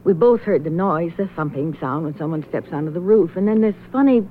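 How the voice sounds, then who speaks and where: A woman's voice: fairly low pitch, animated, a little fast, close to an everyday voice. A woman playing an uneasy, hesitant widow confiding what she knows in a 1970s radio drama. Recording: muffled, slightly noisy vintage radio recording.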